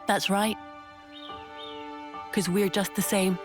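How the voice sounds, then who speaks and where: A woman voicing a cool, cynical, teasing young female soldier in a video game, with a deliberate, probing way of talking. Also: medium pitch, little pitch movement, close to an everyday voice. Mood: knowing, probing. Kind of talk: video game cutscene voice acting. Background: loud background music.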